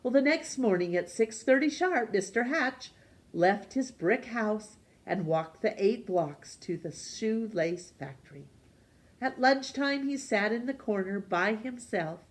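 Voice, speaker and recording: A woman reading a children's picture book aloud for storytime, gently and expressively. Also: fairly high pitch. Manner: gentle, slow and expressive, voicing characters.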